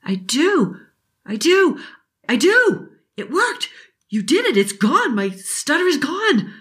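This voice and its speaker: A woman's voice: fairly high pitch, lively intonation, a little slow, fairly bright tone, pitched above the performer's own voice. A woman voicing a timid young boy who stutters. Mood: overjoyed. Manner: stutters, breathless and eager.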